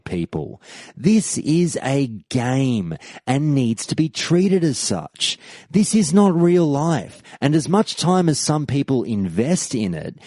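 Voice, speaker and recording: A man's voice: mid-range voice, darkish tone, dry recording. A man hosting a podcast and reading a scripted history segment with emphatic delivery and rising questions. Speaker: podcast host.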